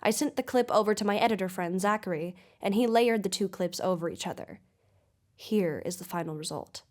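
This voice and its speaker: An actress playing a measured, skeptical investigative podcast host in a scripted audio drama. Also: female voice, medium pitch, natural voice. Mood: uncertain, reflective. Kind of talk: scripted investigative narration and interview.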